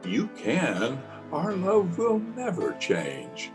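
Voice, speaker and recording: A man's voice: a little high, huge pitch swings, takes it slowly, over music, exaggerated voice. A man playing a tender, adoring young bridegroom in an old-time radio drama. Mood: adoring, reassuring.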